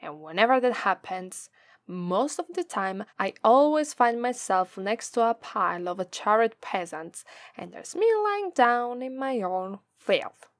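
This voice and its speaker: A woman with an Italian accent voicing a gloating, teasing female demon captor in a slow, drawling ASMR roleplay. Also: mid-range voice, very animated, no room sound. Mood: playful, teasing. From Italy. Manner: slow, drawling, taunting, playful menace.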